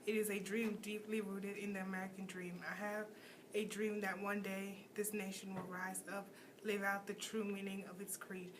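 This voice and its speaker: Teen female student reader, medium pitch, monotone, noisy recording. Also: moderate pace. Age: teenager. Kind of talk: reading a famous speech aloud. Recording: clear background noise.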